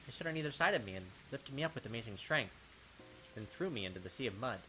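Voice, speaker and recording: A man reading a visual novel aloud as a gaming host, with dramatic, expressive storytelling and pauses. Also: mid-range voice, noisy background.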